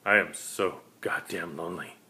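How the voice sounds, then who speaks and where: A man's voice: sits low, moderate pace. A man voicing a lonely, despairing last vampire, a polite predator, in a dramatic story reading. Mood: lonely, bitter. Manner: pleading, rising into manic shouting.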